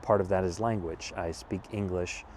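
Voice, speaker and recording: A deep, low-pitched American man in his thirties talks to the camera outside, reflective and unhurried. He stops to search for words and circles back to rephrase. Faint traffic hums behind him. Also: fairly even intonation, darkish tone. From California, USA. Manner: thoughtful, measured, with pauses and restarts. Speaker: vlogger. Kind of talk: casual reflective monologue. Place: outdoors in a wooded city spot.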